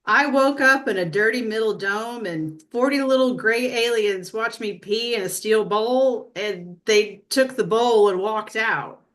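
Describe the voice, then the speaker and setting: A woman playing a brash, earthy abductee in a comedy sketch read, telling it in a blunt, folksy, deadpan way. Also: on the high side, measured pace, hardly any pauses.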